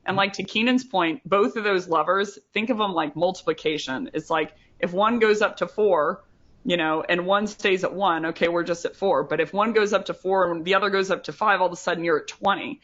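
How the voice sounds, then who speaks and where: A woman's voice: fairly low pitch, brisk, fairly bright tone, lo-fi sound. A woman co-hosting a podcast in casual chat, talking loosely with lots of "like" and "you know". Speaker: podcast co-host.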